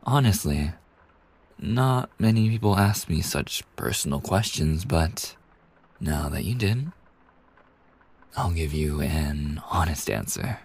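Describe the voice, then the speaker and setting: A man acts out a kind young male bartender for an ASMR roleplay. His voice is deep and soft, with a husky breathiness. He takes his time, pausing hesitantly, and stays polite and attentive throughout. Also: lively intonation, full character voice. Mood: reflective, candid. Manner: courteous, soothing, hesitant pauses. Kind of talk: ASMR roleplay, soft intimate scripted acting.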